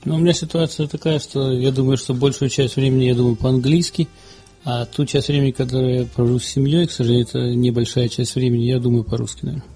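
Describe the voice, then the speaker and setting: A man hosting a casual panel talk show, speaking in a measured way and reading out numbered questions. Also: medium pitch, steady tone, warm tone, slightly rough, soft background music. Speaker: podcast host.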